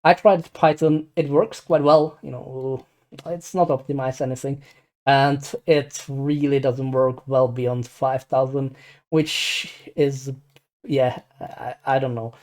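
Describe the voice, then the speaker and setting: Hesitant Czech-accented man, mellow tone. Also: medium pitch, little pitch movement, takes it slowly. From Czech Republic. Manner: hesitant, rambling, repeats words, apologetic. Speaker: amateur math explainer. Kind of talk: casual unscripted screen tutorial.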